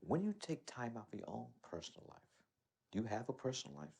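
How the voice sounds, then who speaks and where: A woman's voice: rumbling low, big pitch swings, quick pace, gravelly, dark tone, husky, exaggerated voice. A woman playing a probing off-camera interviewer in a video game cutscene.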